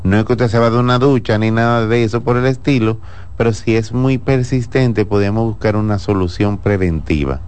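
A man, a gynecologist who answers listeners on a radio health show in a calm, explanatory way. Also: fairly low pitch, a little fast, smooth. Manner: calm, explanatory, laughs easily.

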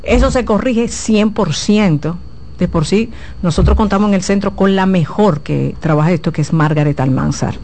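A woman's voice: mid-range voice, moderate pace, a little mellow. A woman giving frank, explanatory advice as a guest sex therapist on a talk show.

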